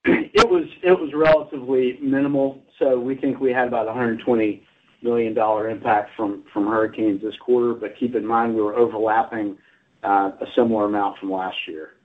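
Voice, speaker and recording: A man with a medium-pitched voice explains results as a company executive. He is on an earnings call, and the sound is narrow and muffled like a telephone. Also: fairly even intonation, fairly quick. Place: conference call. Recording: muffled conference phone line.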